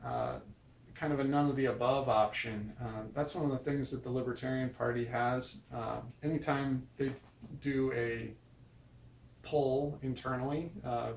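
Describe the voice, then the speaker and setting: American man rambling casually in a roomy space. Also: mid-range voice. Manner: hesitant, meandering, self-correcting. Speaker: video blogger. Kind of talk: casual rambling personal vlog. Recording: roomy, distant mic with noise.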